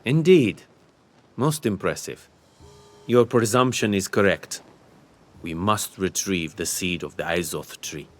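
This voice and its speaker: An actor playing a dignified, grave older sage in a fantasy game, with formal and deliberate speech. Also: male voice, low-pitched. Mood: grave, courteous.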